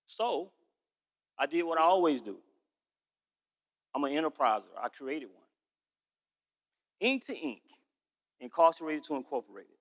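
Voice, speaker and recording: A man in his forties, founder of a nonprofit, giving a rehearsed pitch speech in an earnest, storytelling way, slowing down on key points. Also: very animated. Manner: earnest storytelling with drawn-out emphasis. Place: stage in a large event hall. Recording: echoey hall.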